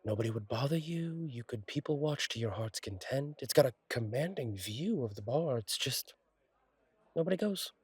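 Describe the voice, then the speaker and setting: A man playing a friendly, courteous angel bartender in an intimate roleplay audio, speaking gently with thoughtful pauses. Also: mid-range voice, animated, husky, dry recording. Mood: warm, reassuring. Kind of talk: intimate roleplay audio acting, one side of a conversation.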